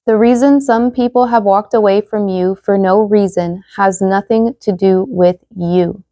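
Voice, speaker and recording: Casual tarot reader, young woman, mellow slightly hoarse voice, dry close sound. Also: mid-range voice, steady tone, measured pace, no room sound. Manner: chatty, many fillers, reads card messages aloud. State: losing her voice, slightly hoarse. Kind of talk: casual tarot reading to viewers.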